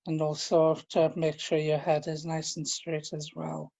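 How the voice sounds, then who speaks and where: A man leading a guided relaxation, speaking in a gentle, informal and halting way, often repeating his phrases. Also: a little high, completely flat delivery, vocal fry, dull audio. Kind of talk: guided relaxation, meditation instruction.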